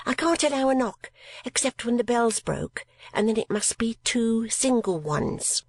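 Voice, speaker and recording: A woman voicing a mincing, genteel landlady of fifty in a storybook reading, with a high, breathy, prim and affected manner and dainty little coughs. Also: animated, a little slow, dry recording. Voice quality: high and breathy, sometimes whispered.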